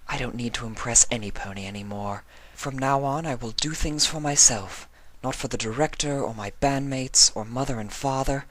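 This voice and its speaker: A woman's voice: very deep voice, normal speed, hardly any pauses, voice pushed up, rough recording. A narrator voicing a refined, anxious young female pony with formal, proper diction in a fan fiction drama. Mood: wistful.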